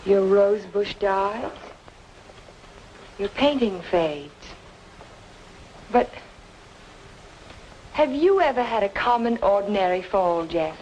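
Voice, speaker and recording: A woman's voice: mid-range voice, animated, takes it slowly, long pauses, darkish tone, muffled recording. An insistent film noir woman, hissy recording. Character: earnest, insistent woman reasoning with a troubled man. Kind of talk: mid-century film noir drama acting. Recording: noisy old film soundtrack, hissy.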